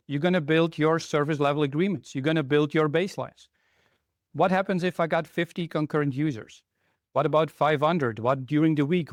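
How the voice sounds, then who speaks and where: A man's voice: a little high, dry recording, clean studio sound. Middle-aged Belgian-born man presenting to a room. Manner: conversational, asks the audience questions. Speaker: conference speaker, technical trainer. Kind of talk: interactive conference talk. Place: conference room, in front of a live audience.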